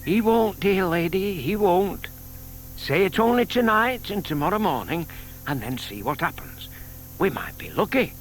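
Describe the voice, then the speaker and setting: Shy lovestruck British older gentleman, high animated voice, polite old-fashioned phrasing, audio play. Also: normal speed, slight background noise. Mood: reassuring. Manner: polite, old-fashioned, eager, muttering to himself. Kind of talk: audio drama acting.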